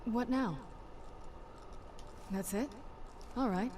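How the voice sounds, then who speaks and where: A woman's voice: on the high side, very slow. An actress playing a blunt, curt female vampire companion in a fantasy game. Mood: unimpressed. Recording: game dialogue buried in loud noise.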